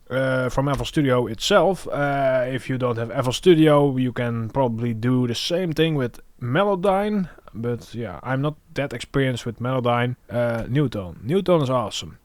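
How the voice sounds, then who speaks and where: A man hosting a music production tutorial, thinking aloud in an informal, halting way. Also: medium pitch, no room sound.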